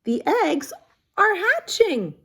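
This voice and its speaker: A woman voicing an excitable, cheerful male pig from a picture book, eager and bright. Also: sits high, slow pace, voice pushed up, full character voice.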